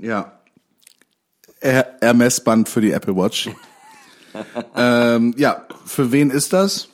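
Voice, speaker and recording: A man's voice: mid-range voice, takes it slowly. A man co-hosting a comedy podcast, riffing with mock-posh irony and laughing often. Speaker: podcast co-host.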